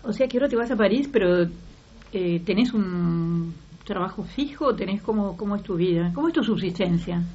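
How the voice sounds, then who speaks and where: A woman's voice: medium pitch, lively intonation, moderate pace, mellow, slightly rough. A woman hosting an interview, asking chatty questions and filling in with encouraging murmurs. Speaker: interviewer, host. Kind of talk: broadcast interview. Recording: slightly muffled audio with faint noise.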